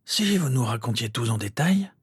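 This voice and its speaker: A man voicing a venerable old wizard headmaster in an audiobook, calm, courteous and pensive in a measured way. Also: medium pitch, slightly rough, no echo, pitched above the performer's own voice. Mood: intrigued.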